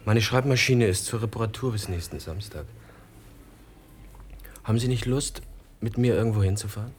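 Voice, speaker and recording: An actor in a German radio play playing a polite, reserved, quietly uneasy writer in a measured conversational way. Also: male voice, on the low side, a little hiss or hum, close to an everyday voice. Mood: casual, friendly.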